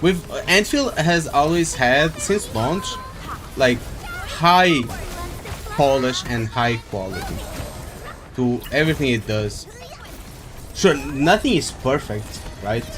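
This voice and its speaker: A man's voice: medium pitch, expressive, slow, pauses often. A man streaming a game, chatting casually and rambling as he thinks aloud. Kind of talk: casual live-stream chat while playing. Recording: game music behind the voice.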